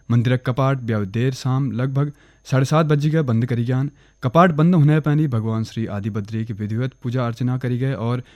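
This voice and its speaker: Kumaoni guy reading the regional news bulletin, steady formal delivery, dead dry studio. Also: medium pitch, no room sound, dull audio. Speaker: newsreader. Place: radio studio.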